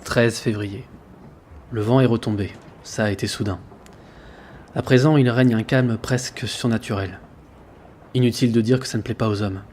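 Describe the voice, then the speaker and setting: An actor playing an earnest sailor who narrates his ship's log in a measured, reflective way for an audio drama. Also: mid-range voice, fairly even intonation, a little mellow, slight background noise, natural voice. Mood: grave, reflective. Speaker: narrator and lead character. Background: background music.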